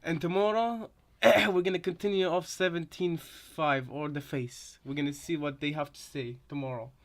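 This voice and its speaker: A man giving casual reaction commentary on game voice lines, rambling and halting, often repeating his phrases. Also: fairly high pitch, fairly even intonation. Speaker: reaction video host.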